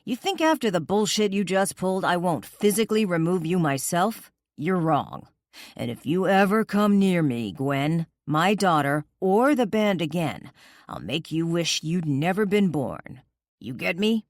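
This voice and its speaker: A woman voicing a furious, possessive male rock musician who shouts curses and barks commands in an audiobook. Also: low voice, very animated, measured pace, dry recording, studio quality, full character voice. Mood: raging.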